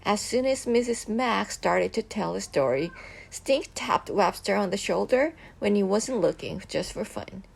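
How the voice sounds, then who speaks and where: A woman reading a children's chapter book aloud, expressive, with occasional slips and restarts. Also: mid-range voice, measured pace, gravelly, breathy edge.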